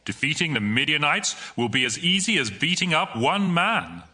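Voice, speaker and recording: A man voicing God, calm and bright. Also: on the high side, normal speed, breathy edge, full character voice. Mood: assuring. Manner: calm, reassuring, steady. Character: the divine male voice of God, reassuring. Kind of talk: dramatized audio Bible.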